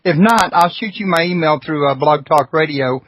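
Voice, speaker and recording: A man's voice: fairly high pitch, moderate pace, a bit bright, rough recording. A man from Houston, hosting a radio talk show in an easygoing, joking way. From Houston, Texas.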